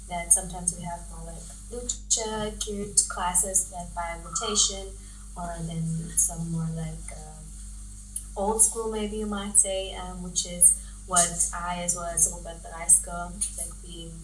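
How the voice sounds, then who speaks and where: A Swiss woman wrestler, a native Swiss German speaker, chatting in an interview with an outgoing, measured manner full of small pauses. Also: fairly low pitch. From Switzerland. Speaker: professional wrestler, guest. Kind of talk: casual interview chat. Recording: distant mic, echoey room with steady noise.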